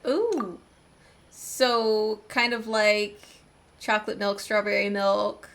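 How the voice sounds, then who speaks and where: A woman's voice: medium pitch, slow, fairly bright tone. An American woman giving casual, chatty commentary as she streams a video game. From United States. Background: video game music.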